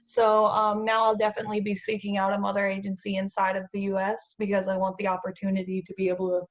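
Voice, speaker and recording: A woman's voice: mid-range voice, completely flat delivery, fast. Young woman, faint Southern lilt, lo-fi. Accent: slight Southern US. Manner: chatty, self-deprecating, many fillers. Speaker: guest model. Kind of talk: casual interview chat.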